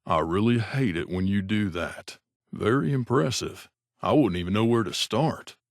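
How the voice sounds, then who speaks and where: Low-voiced, wry mercenary. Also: male voice, expressive, moderate pace, no room sound, clean studio sound. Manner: wry, grumbling, conversational. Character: wry, gruff male mercenary companion in a wasteland. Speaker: video game voice actor. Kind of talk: game character voice lines.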